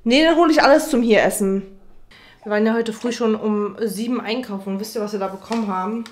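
A woman's voice: medium pitch, a little fast. A woman in her thirties, a mother, chatting casually to the camera for her family vlog, with lots of fillers and asides to viewers. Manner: chatty, addresses viewers directly, many fillers.

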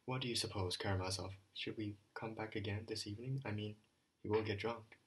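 A man reading an audiobook, voicing a proud teenage boy who speaks in hushed, clipped tones and snaps irritably. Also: lowish voice, fairly even intonation, a little fast, breathy edge.

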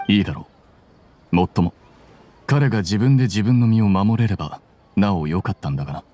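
A man's voice: lowish voice, a little fast, mellow, a little hiss or hum, natural voice. A man voicing a cool, blunt young scholar in a Japanese video game, composed, matter-of-fact and aloof.

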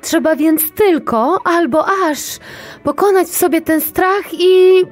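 An actress playing a worldly, resolute older woman manager in an audio drama, phrasing things deliberately and floridly. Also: extremely high pitch, faint music behind.

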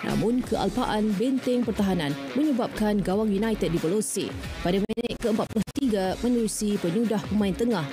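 Brief: young female sports anchor, even mid pitch, formal studio read with background music. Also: fairly quick. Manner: steady, formal delivery. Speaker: sports news presenter. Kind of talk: scripted news reading. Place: TV news studio. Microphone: studio mic. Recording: news music bed underneath.

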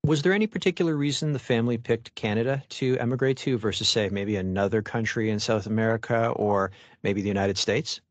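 A man's voice: on the low side, brisk, no room sound. Man hosting a podcast interview. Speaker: podcast host. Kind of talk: scripted intro then interview questions.

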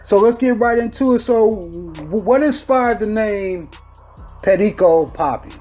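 Relaxed man repeating "okay, okay". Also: high-pitched, a little slow, faint music behind. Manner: loose, repeats "okay, okay" and "I got you". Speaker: interview host. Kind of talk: casual interview.

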